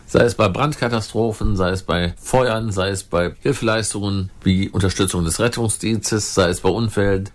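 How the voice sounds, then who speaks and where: A man hosting an interview programme, introducing guests and asking questions in an informal, direct way. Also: fairly low pitch. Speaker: host.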